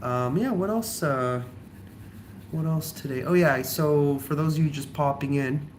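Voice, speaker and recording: A man's voice: on the high side, unhurried, darkish tone, a little hiss or hum. A young man with a cold and a slightly nasal voice, chatting casually in a vlog, rambling with lots of ums and likes. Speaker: travel vlogger.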